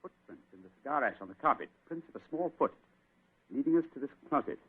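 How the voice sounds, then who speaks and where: An actor playing a sharp, cool-headed male detective in an old radio drama, crisp and deliberate. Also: mid-range voice, expressive. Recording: thin phone-like radio recording, hiss.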